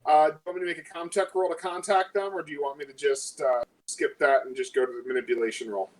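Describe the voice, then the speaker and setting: A man's voice: fairly high pitch, steady tone, fast, low-quality recording. A man chatting casually as a player in a tabletop game, rambling with lots of fillers.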